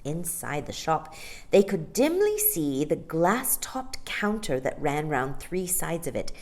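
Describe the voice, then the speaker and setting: Animated, lower-pitched woman reading a storybook. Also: slow. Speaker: storytime reader. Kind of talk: expressive storybook narration for children.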